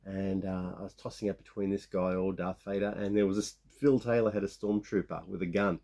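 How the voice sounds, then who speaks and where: A man in his fifties with a croaky sore-throat voice, rambling casually as he shows off his toy finds. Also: deep voice, little pitch movement, fairly quick, mellow. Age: 50s. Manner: rambling, many restarts and fillers. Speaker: toy collecting vlogger. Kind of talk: casual show-and-tell of toy haul.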